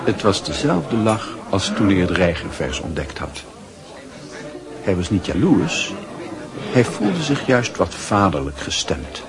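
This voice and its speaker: A measured storyteller narrates a radio play in literary style. His voice is fairly low, set over music and crowd murmur.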